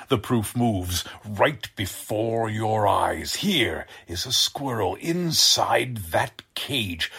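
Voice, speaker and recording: An actor playing a deranged, boastful scientist in 1940s radio drama style, pompous and theatrical, with a husky, breathy voice. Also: male voice, fairly low pitch, fairly bright tone, heavily acted. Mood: indignant. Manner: theatrical, pompous, savoring words slowly. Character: deranged, boastful male mad scientist, grandiose and sinister.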